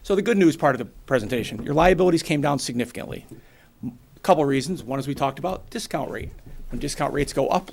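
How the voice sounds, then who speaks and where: A man in his forties with a New England accent, giving a brisk, plainspoken financial presentation to a town board and explaining with everyday examples. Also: medium pitch, creaky, a little hiss or hum. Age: 40s. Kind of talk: financial presentation at a public meeting.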